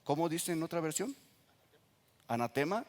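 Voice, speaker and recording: A man with a Mexican accent, a pastor preaching earnestly to his congregation and reading scripture slowly with emphasis. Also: fairly high pitch, big pitch swings. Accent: Mexican Spanish. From Nayarit coast, Mexico. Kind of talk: sermon. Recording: reverberant church hall.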